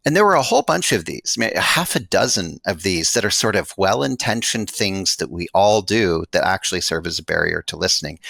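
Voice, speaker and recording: A man's voice: on the low side, vocal fry, fairly bright tone, no echo. An American man, a guest author in an interview, explaining his ideas in a reflective way. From United States. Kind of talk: interview conversation.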